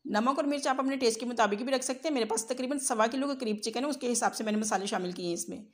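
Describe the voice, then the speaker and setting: The voice is a woman's, pitched on the high side. She presents as a home cook hosting a recipe channel. Her delivery is an instructional voice-over that walks through each step in order. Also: racing.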